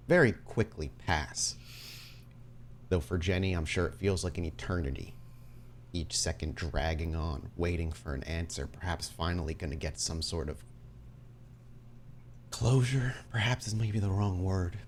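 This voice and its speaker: Deep-voiced guy running a tabletop game, tells it slow and dramatic, bit of background noise. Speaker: game master. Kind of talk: narrating a tabletop roleplaying game.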